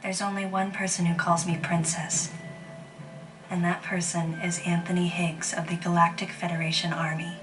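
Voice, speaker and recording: A low-pitched female bounty hunter, played by an actress narrates solemnly and introspectively in a video game cutscene. She sounds measured and grave, set back from the mic under prominent music. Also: flat delivery, distant mic, close to an everyday voice, poor audio. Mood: reflective. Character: solemn, reflective young woman bounty hunter. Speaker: narrator and protagonist.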